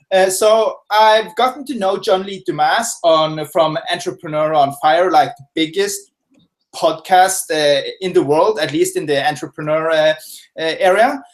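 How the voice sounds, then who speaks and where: Chatty man in a home office. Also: high-pitched. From Norway. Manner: casual, chatty, lots of fillers and restarts. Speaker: guest expert. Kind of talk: webinar interview answers.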